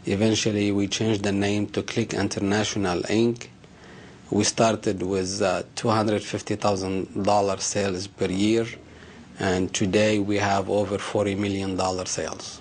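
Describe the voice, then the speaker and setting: A middle-aged man from Lebanon, a company head speaking in a measured way with hesitant fillers in an interview. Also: fairly low pitch, little pitch movement, a little hiss or hum. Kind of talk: interview testimony.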